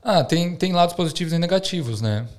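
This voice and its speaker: A man's voice: on the high side, lively intonation, a little fast. A man from Brazil hosting a podcast, asking curious questions and finishing his guest's sentences. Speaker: podcast host. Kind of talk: casual interview chat.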